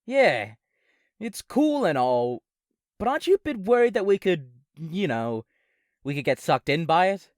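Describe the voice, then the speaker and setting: Woman playing a casual, lower-voiced robot best friend. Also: very animated, unhurried, a little mellow, dry recording, studio quality. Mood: curious, teasing. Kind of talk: audio drama acting.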